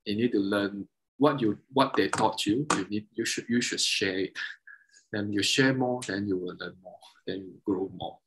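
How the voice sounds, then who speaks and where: A man in his thirties whose first languages are Mandarin and Cantonese, speaking on a video call as a guest, halting and restarting often as he explains technical details. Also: on the low side, fairly bright tone, slight background noise, low-quality recording. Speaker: guest, double bassist and violin maker. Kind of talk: casual interview over video call.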